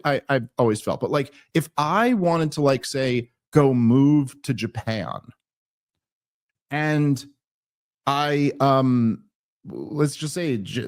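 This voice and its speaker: An American man hosting a political podcast, talking casually and sarcastically, full of restarts and fillers. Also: medium pitch. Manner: rambling, many restarts and fillers; sarcastic, exasperated. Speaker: podcast host.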